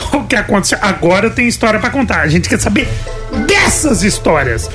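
A man's voice: high-pitched, a little fast. A man co-hosting a talk show with casual, joking, slangy banter. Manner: animated, joking. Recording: music bed behind talk.

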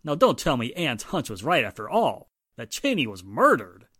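An actor playing an exasperated, spluttering police inspector in a 1940s-style radio drama. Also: male voice, mid-range voice, wildly swooping pitch, moderate pace, a bit bright, husky, dry recording, clean studio sound, full character voice. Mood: incredulous. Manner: spluttering, emphatic, incredulous.

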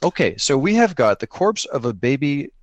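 A man's voice: mid-range voice, very animated, normal speed, no echo. A man hosting an improvised tabletop roleplaying game in casual chat, thinking aloud and posing questions that he then answers. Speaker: host and player of an improvised solo-engine tabletop game.

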